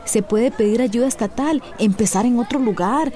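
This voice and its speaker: A woman's voice: a little high, normal speed, a crowd in the background, natural voice. Actress as disheartened, determined woman, busy ambience. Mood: dejected, resolute. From Central America. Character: disheartened woman set on leaving home. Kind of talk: radio soap opera acting.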